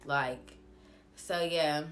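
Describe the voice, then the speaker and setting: A woman's voice: sits low, extremely slow, background noise. A young woman telling a personal story to viewers in a casual, candid, blunt way, with slangy phrasing.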